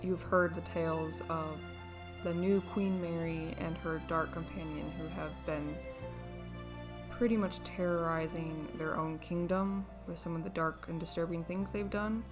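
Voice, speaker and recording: A woman narrating a tabletop fantasy game as game master, with somewhat halting phrasing. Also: lowish voice, fairly even intonation, over music.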